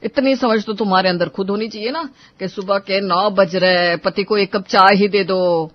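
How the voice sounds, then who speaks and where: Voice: medium pitch, fairly even intonation, moderate pace, no echo, natural voice. A performer voicing a sulky, hot-tempered young married man quarreling with his mother and wife, in a dry, dull lo-fi recording. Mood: grumbling. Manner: sulky, irritable.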